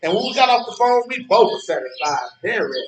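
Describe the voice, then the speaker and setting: A man's voice: high-pitched, very animated, fairly quick, reverberant, noisy background. A man playing a smug old man in a stage play, needling his rival with mock-genteel, theatrical taunts. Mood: smug, suggestive.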